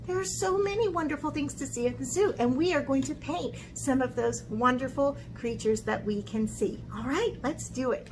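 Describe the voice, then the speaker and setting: A woman with a fairly high voice hosts an instructional art video for children. She is chatty and encouraging, regularly checking that listeners follow along. There is clear background noise behind her. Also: poor audio. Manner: encouraging, chatty, frequent check-in tags like "okay?". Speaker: children's art teacher and host. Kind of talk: instructional video talk to children, with read-aloud.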